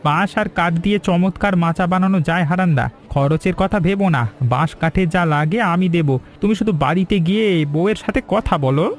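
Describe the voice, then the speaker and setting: An actor playing a generous, reassuring schoolteacher in an animated cartoon story. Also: male voice, high voice, a little fast, close to an everyday voice. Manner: warm, reassuring. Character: kindly middle-aged male schoolteacher, generous helper.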